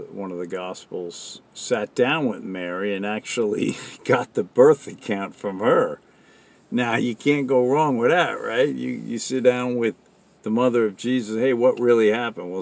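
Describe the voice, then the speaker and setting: A man's voice: on the low side, darkish tone. A man giving an informal religious monologue in an earnest, rambling, conversational way. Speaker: religious commentator.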